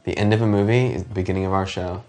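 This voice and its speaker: A man's voice: sits low, fairly even intonation, racing, a little mellow, soft background music. A man chatting casually as co-host of a commentary, opinionated and emphatic, often repeating himself. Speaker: show co-host.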